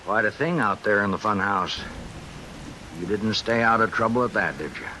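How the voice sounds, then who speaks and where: A man's voice: lowish voice, normal speed, pushed and bright, rough recording. An actor playing a weary, fatherly sheriff with an American accent, needling in a folksy, dry way in an old radio drama. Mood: quiet concern. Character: weary, wry small-town sheriff, fatherly but needling. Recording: hissy old radio recording with rain.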